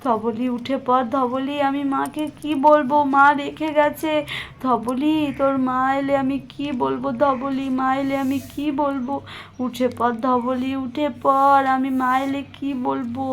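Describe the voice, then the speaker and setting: Woman acting a high, distraught village sister-in-law. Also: flat delivery, a little slow. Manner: anxious, repetitive calling and lamenting. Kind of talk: Bengali puppet cartoon voice acting.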